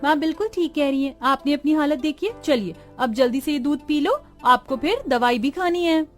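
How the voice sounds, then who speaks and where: A woman plays a devoted woman of an Indian family drama, earnest and emotive. Her voice is on the high side, with faint music behind it. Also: normal speed, close to an everyday voice. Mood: caring. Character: young caring dutiful daughter-in-law and worried mothers. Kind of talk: dramatised story dialogue. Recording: music under the voice.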